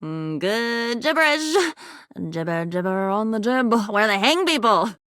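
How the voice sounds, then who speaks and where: Unstable, wildly swooping living diary. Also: female voice, a little high, expressive, a little slow, a bit bright, smooth, dry recording, clean studio sound. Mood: whimsical, unhinged. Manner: theatrical, erratic, swinging between whispers and cries. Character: unstable living diary, lonely, frantic, fractured female spirit. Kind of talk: video game character voice acting.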